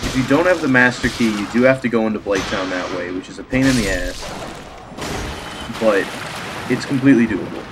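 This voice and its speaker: Man, stuffy from a cold, lowish voice, chatty game commentary, background noise. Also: brisk, long pauses. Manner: chatty, rambling, explains as he plays. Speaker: let's play host.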